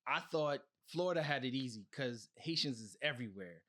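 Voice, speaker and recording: A man's voice: mid-range voice, expressive, brisk, fairly bright tone. American man hosting a podcast interview. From Brooklyn, New York, United States. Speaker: podcast host interviewing a guest.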